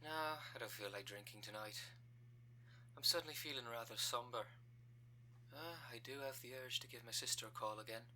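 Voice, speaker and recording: A man's voice: lowish voice, moderate pace, a little hiss or hum, natural voice, rough recording. A man voicing a scheming female cult recruiter in an audio roleplay, speaking with formal, businesslike politeness and dry condescension. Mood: somber.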